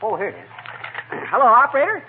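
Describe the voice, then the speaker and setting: A man's voice: extremely high pitch, sing-song, quick pace. A man playing a cocky, bumbling, folksy husband, breezy and jokey in the manner of 1940s radio comedy. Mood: muddled, animated. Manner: breezy, jokey, over-familiar. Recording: tinny, noisy old radio recording.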